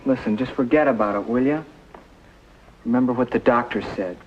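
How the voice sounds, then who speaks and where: A man's voice: mid-range voice, a little fast, lightly acted. A dull, lo-fi vintage TV soundtrack carries an actor playing a brusque American man. He is an impatient but caring guardian, talking plainly and colloquially in a fifties drama. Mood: firm, caring. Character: impatient but caring male guardian reassuring a nervous boy. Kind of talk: 1950s American television drama acting. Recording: dull lo-fi 1950s television soundtrack.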